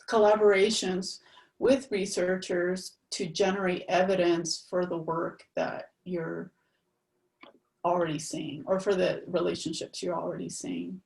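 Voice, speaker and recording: Heard from a little way off in an echoing room, a woman researcher comments during a panel. Her voice is rather low and creaky, and she speaks in measured, halting phrases. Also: darkish tone. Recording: roomy, distant microphone.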